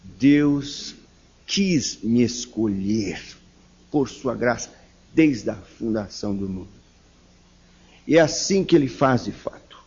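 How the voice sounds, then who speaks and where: Husky paulista pastor, slow emphatic sermon, dull lofi sound. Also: male voice, on the high side. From São Paulo, Brazil. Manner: slow, emphatic preaching with fervent rises on key words. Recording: dull lo-fi recording.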